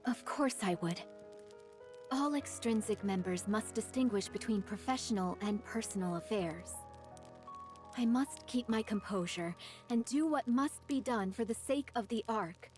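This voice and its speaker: A woman's voice: on the high side, measured pace, a little mellow, soft background music, close to an everyday voice. A woman playing a loyal, self-dramatizing young warrior who speaks formally and solemnly in a game dub. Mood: resolute, devoted. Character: grandiose, loyal young woman warrior with dark-fantasy delusions. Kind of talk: English game dub voice acting.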